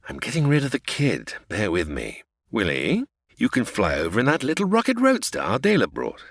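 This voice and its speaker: A man's voice: medium pitch, huge pitch swings, moderate pace, creaky, fairly bright tone, no echo, full character voice. An actor playing a scheming male villain in a comedy audio drama, with theatrical delivery. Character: scheming male villain Killer Kane, smug.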